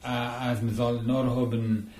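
Mann mit Tamil als Muttersprache, stockend. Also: male voice, medium pitch, little pitch movement, a little mellow, roomy sound. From India. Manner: halting, searching for words. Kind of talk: casual group discussion.

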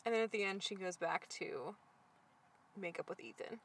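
A woman's voice: mid-range voice, normal speed. Laid-back woman with faint background noise. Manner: easygoing, laughs often, frequent "yeah" and "like". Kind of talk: casual movie recap chat.

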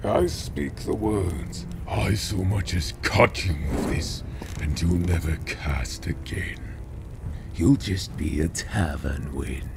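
Menacing, gravelly orc warrior king. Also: low voice, background noise, exaggerated voice. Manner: bellowing, threatening, drawn-out delivery. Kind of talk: fantasy audio drama acting.

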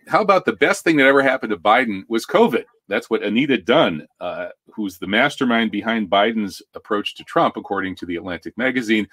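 An American man with a fairly low voice hosts a news commentary show. He talks conversationally, with a wry, tongue-in-cheek edge. The sound is dry, with very little room around him. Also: a bit bright, no room sound.

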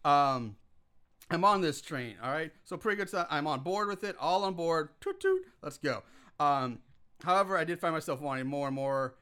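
A man's voice: fairly high pitch, normal speed, a bit bright, clear, resonant tone. A man co-hosting a podcast, casually recapping a movie scene by scene, rambling, laughing and swearing freely. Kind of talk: casual chat.

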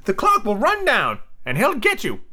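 A silly eager pirate bosun for a storybook, squeaky high and bubbly, loose jowly lips. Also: male voice, huge pitch swings, normal speed, bright, forceful tone, heavily acted. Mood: eager, simple. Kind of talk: storybook character voice.

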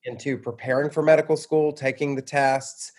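A man's voice: medium pitch, little pitch movement, moderate pace, darkish tone. An American man speaking as an interview guest, telling personal stories in a reflective, self-deprecating conversational way. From suburban Atlanta, Georgia, United States.

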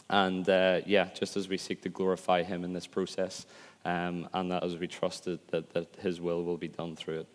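A man with a hoarse, croaky voice, humble and self-deprecating, opening his sermon as an elder candidate. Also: low-pitched. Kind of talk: sermon introduction. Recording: long reverb in a church hall.

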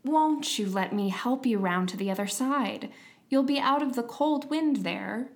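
A courteous young girl from a storybook , played by a woman. She speaks somewhat high, in a gentle and earnest way. There is a kindly, slightly timid temper to her. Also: animated, a little slow. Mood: kind, concerned.

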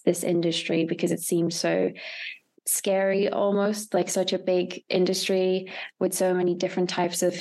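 A woman's voice: lowish voice, a little mellow. A young woman giving casual interview answers as a filmmaker, thoughtful and hesitant as she searches for words. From Hungary. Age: young adult. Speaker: interview guest, experimental filmmaker.

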